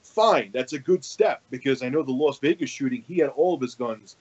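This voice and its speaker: A man in a casual political panel discussion who talks haltingly, repeats words and swears freely. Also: mid-range voice, a little mellow, husky, highs cut off. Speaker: podcast panelist.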